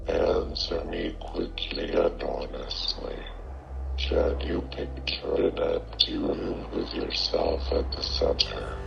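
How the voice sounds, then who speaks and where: A man's voice: rumbling low, big pitch swings, slow pace, vocal fry, exaggerated voice. A man voicing a sinister otherworldly inner voice in analog horror, solemn, demanding and commanding. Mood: cold, commanding. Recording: muffled lo-fi audio under music.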